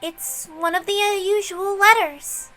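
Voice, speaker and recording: A woman's voice: extremely high pitch, steady tone, measured pace, sharp tone, voice pushed up, full character voice. A woman voicing a smug, scornful young woman detective with mock-polite manners in a visual novel reading. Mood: brisk, cool. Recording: music under the voice.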